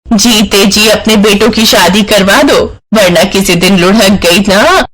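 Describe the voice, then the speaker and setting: A man's voice: sits high, measured pace, a bit bright. Man voicing a blunt, meddling old village woman. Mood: nagging. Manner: nagging, blunt. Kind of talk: Hindi animated moral-story acting.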